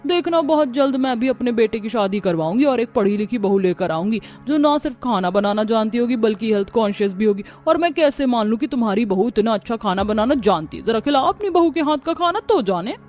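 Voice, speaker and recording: A woman's voice: a little high, lively intonation, a little fast, few pauses, darkish tone, close to an everyday voice. A woman voicing a boastful, jealous middle-aged village mother-in-law in a cartoon family drama, with a haughty, taunting manner. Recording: music behind the voice. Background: music.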